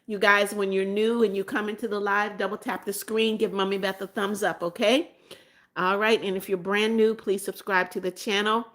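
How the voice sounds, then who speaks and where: A woman's voice: medium pitch, little pitch movement, normal speed, fairly bright tone. Chatty seventy-year-old woman live-streaming. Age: 70s. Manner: chatty, reads viewer comments aloud and answers them; calls herself Mommy Beth and repeats phrases. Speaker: livestream host. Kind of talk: casual live-stream chat.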